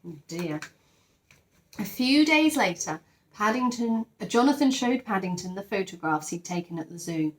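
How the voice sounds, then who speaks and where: A woman's voice: mid-range voice, expressive, moderate pace. A woman teacher reading a storybook expressively to young children, with chatty asides to the listeners. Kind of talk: animated storybook read-aloud.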